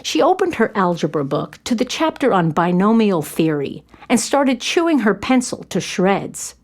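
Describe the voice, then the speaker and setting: A woman's voice: mid-range voice, big pitch swings, normal speed. A woman narrating a scripted story for children.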